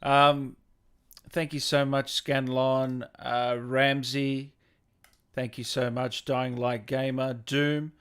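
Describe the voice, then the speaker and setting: A man's voice: medium pitch, level tone, slow pace, fairly bright tone, clean tone. A man hosting a casual interview chat and putting questions to his guest. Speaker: interview host.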